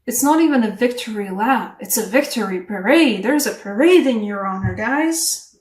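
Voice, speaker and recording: A woman giving a casual tarot reading to camera, chatty and animated, English not her first language, laughing at herself between rambling asides. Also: a little high, moderate pace, distant mic. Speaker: tarot reader. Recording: echoey room, voice a bit off-mic.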